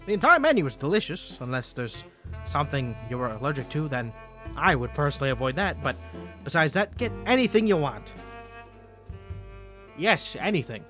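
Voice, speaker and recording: A man's voice: a little high, very animated, moderate pace, long pauses, sharp tone, faint music behind. A man playing a suave, flirty mafia boss in a cartoony 1950s voice, speaking politely and self-assuredly in a scripted roleplay. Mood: smooth, self-assured.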